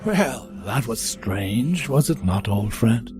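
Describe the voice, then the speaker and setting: A man playing a weary, sinister ancient piper in an audio drama, speaking in a measured, formal, musing way. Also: medium pitch, lively intonation, music in the background. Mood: puzzled, musing. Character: sinister, weary ancient piper talking fondly to his flute.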